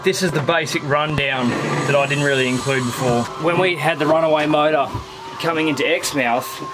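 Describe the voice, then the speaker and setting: A man's voice: fairly high pitch, moderate pace, noisy background. A man in his thirties with an Australian accent, talking casually and in a rambling, laid-back way as a sailing vlogger. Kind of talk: casual explanatory vlog talk. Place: aboard a small sailboat, in the cabin and on deck.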